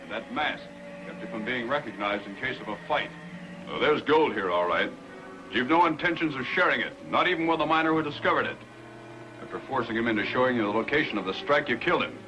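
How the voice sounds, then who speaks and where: A man's voice: medium pitch, animated, fast talker, over music, natural voice, rough recording. A man playing a stern, righteous Mountie sergeant in a 1950s television adventure, commanding and formal. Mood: stern, accusing.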